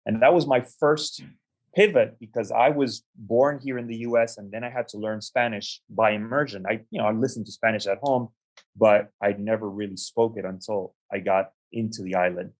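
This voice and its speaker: A young adult man from the United States, an interview guest, telling his life story in a measured, reflective way with frequent ums and restarts. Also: lowish voice, fairly even intonation, rough recording. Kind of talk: conversational storytelling.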